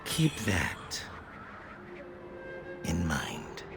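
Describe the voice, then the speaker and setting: Very slow, grave counsellor. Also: male voice, low voice, huge pitch swings, heavily acted. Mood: cautioning, reflective. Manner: measured, grave. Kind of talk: video game cutscene acting. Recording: background music under the voice.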